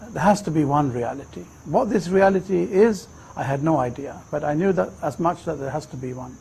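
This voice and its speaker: A man in his fifties from Sweden, telling his personal story in a reflective, measured way. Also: on the high side, expressive, creaky, a little mellow, breathy edge. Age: 50s. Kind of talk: personal testimony, unhurried storytelling.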